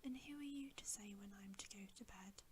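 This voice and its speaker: A woman reading a bedtime story in ASMR style, in a hushed, gentle, unhurried whisper. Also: mid-range voice, a little hiss or hum. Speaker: ASMR reader.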